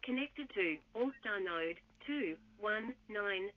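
A woman's voice: mid-range voice, animated, slow. A woman's automated voice reading out a phone system announcement. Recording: dull lo-fi phone speaker playback.

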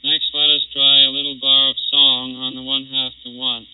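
A man's voice: mid-range voice, flat delivery, moderate pace, clean tone. 1930s lab guy explaining stuff formally through a vocoder, robotic, thin phone-like old disc sound. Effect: synthetic robotic vocoder processing. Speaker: laboratory demonstrator. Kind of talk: 1930s technical demonstration, formal narration. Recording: muffled, phone-like 1939 test disc recording.

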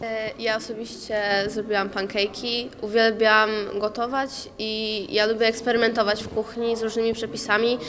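A woman's voice: fairly high pitch, noisy background. A teenage girl student answering interview questions in a school hallway, chatty and earnest, into a handheld mic. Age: teens. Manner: chatty, earnest, many fillers. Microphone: handheld mic held by interviewer. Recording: crowd chatter behind.

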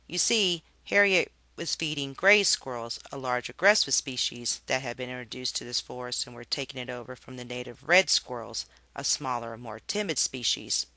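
A storybook reader giving an expressive read-aloud narration for children. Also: mid-range voice, normal speed, hardly any pauses, no echo.

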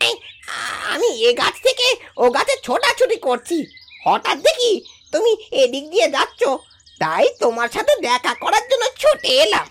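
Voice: extremely high pitch, huge pitch swings, moderate pace, a bit bright, heavily acted. A performer voicing an eager, inquisitive little animal friend from a children's fairy-tale cartoon. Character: chatty little animal friend who scampers between trees. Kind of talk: cartoon voice acting.

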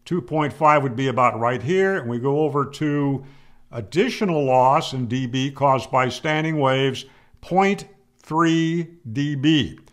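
A man's voice: mid-range voice, a little slow, fairly bright tone. An older man giving an explanatory technical lecture to camera, emphatic, asking questions and answering them firmly, on a close mic. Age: older, 60s or 70s. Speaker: ham radio explainer, video host. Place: small home room, indoors. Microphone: close studio mic on boom arm.

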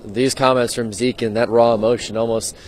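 A man's voice: mid-range voice, level tone, brisk. A man in his thirties giving a live postgame TV report from an outdoor football stadium at night, speaking into a handheld mic in an earnest, questioning way. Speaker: sports reporter. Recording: faint stadium noise outdoors.

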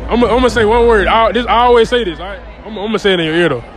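Playful young man interviewing on a loud street. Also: high-pitched, rapid-fire. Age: young adult. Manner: playful, boastful, repeats phrases. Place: busy nightlife street outdoors. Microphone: handheld mic. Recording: loud music and crowd behind. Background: music and crowd chatter.

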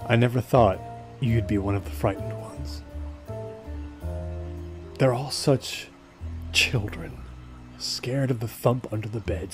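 An actor playing an obsessed, brooding man in a naturalistic audio drama, with a hesitant, halting delivery. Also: mid-range voice, a little mellow, lightly acted. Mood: bitter, brooding. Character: obsessed, brooding man seeking supernatural truth.

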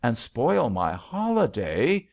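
A male narrator puts on a playful, stubborn young woman from an old love story. She speaks eagerly and directly, with raised, swooping pitch. The audiobook recording is a little dull. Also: wildly swooping pitch, slow pace, gravelly, darkish tone, heavily acted. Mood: puzzled, indignant.

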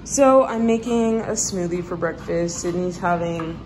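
A woman's voice: fairly low pitch, measured pace, low-quality recording. Young woman vlogging on her phone. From Canada. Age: young adult. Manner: chatty, laughing, self-mocking. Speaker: student athlete vlogger. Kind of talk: casual vlog chat. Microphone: phone held at arm's length.

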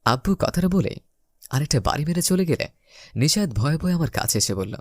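A man's voice: fairly high pitch, big pitch swings, fairly quick, a little mellow, no room sound, studio quality. A man narrating a scripted first-person romantic story, voicing the dialogue as he goes.

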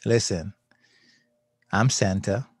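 A man's voice: fairly low pitch, slow, breathy edge, no room sound, clean studio sound. A middle-aged man hosting a casual podcast, telling stories animatedly and acting out quotes with laughing asides. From Haiti. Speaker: podcast host, social worker.

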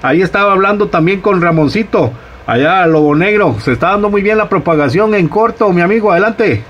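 A man's voice: high voice, expressive, a little fast, fairly bright tone, lo-fi sound, slight background noise. A man from Costa Rica with a Costa Rican accent, chatting in a friendly way over ham radio and using radio jargon. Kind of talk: casual radio chat.

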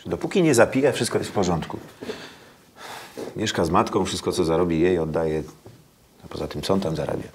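An actor playing a harried middle-aged foundation director, exasperated but lenient, in naturalistic film drama. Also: male voice, sits low, a little fast, long pauses, husky. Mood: defensive. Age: 40s.

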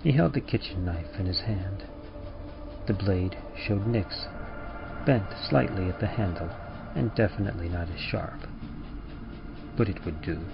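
A man reading horror writing as a slow, measured, ominous dramatic narrator, in an old-time radio manner. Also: low-pitched, music in the background. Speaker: podcast presenter reading horror fiction and poetry. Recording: dull lo-fi audio with clear noise.

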